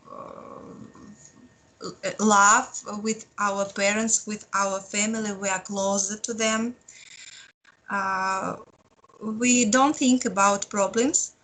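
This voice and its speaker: Russian speaking woman, bright voice, slow and searching for words. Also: medium pitch, low-quality recording. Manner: hesitant, searching for words, laughing softly. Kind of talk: casual online group chat.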